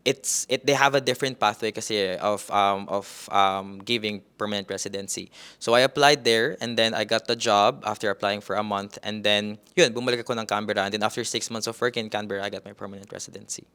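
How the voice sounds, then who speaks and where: A man's voice: on the low side, sharp tone, smooth. A young Filipino man with a Filipino accent, a nurse answering interview questions in a casual way, switching between Tagalog and English with many fillers and restarts. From Philippines. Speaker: guest, registered nurse.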